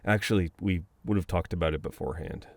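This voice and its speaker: A man's voice: low-pitched, quick pace, no room sound. A man acting a flustered, teasing best friend with a dominant streak in an intimate, conversational ASMR roleplay, often sounding exasperated. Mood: reluctant, awkward. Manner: conversational, exasperated, breaking into chuckles and sighs.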